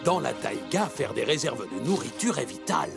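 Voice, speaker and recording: An actor voicing a kindly, pompous forest animal who likes to lecture, grandiloquent and deliberate, in a French cartoon dub. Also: male voice, mid-range voice, sing-song, creaky. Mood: proud, lecturing. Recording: music behind.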